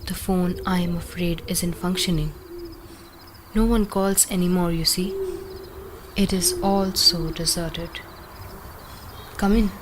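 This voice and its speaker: A woman's voice: lowish voice, steady tone, slow, long pauses. A woman acting an enticing, proud, mysterious hostess of a lonely cottage in a radio play, speaking in a formal, inviting way. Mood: slow, inviting. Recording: clear background noise, insects and ambience.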